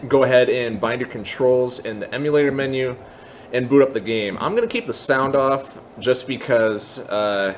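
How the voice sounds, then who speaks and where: A man's voice: mid-range voice, lively intonation, normal speed. Man giving a tutorial over noticeable noise. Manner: methodical, step-by-step. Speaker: tutorial presenter. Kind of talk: explanatory screen-recorded software tutorial.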